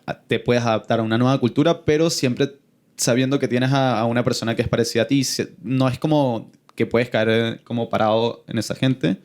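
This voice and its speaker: A man from Venezuela hosting a podcast, calm and conversational, often answering with short agreement. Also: mid-range voice, a little fast. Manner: calm, frequent agreeing replies. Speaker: podcast host.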